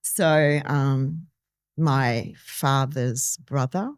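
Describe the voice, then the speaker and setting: Low-voiced woman in her early fifties. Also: drawn-out words, clear, resonant tone, dry recording, studio quality. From Timor-Leste. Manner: earnest, personal, with many restarts and ums. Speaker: podcast guest. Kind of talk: conversational interview storytelling.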